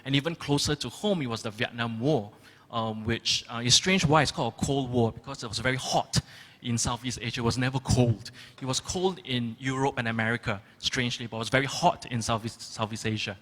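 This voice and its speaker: Male curator, measured and halting, mid-pitched, in a reverberant auditorium. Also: fairly bright tone. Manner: thoughtful, hesitant, many ums. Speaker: curator on a panel. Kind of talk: panel discussion answers. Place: large gallery auditorium. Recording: long reverb of a large hall.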